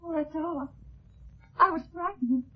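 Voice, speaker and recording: Anxious heiress on thin old radio. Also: female voice, high-pitched, unhurried. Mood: frightened, pleading. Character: anxious young heiress suspected of murder, pleading. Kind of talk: 1950s radio detective drama acting. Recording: muffled, thin vintage 1950s radio recording.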